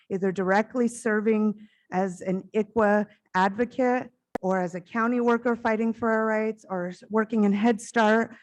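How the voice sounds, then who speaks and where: A middle-aged woman speaks at a stage microphone to a conference. Her voice is naturally loud and carries well, and she sits at an ordinary pitch for a woman. She talks in an earnest, heartfelt way that sometimes rushes forward in quick bursts. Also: poor audio. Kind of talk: conference keynote address.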